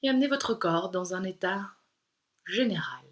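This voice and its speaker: A woman yoga instructor leading a guided meditation in a calm, soothing, unhurried way with pauses. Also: medium pitch.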